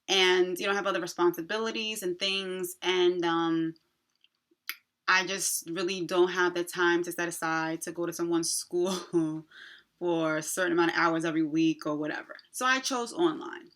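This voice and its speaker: Woman, 30s, New York accent, bright and slightly low. Casual, expressive talk to camera for a vlog. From Long Island, New York. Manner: chatty and expressive, many fillers, playful asides. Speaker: nurse practitioner vlogger. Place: small indoor room in front of a pink backdrop. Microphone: camera in front, head-and-shoulders framing.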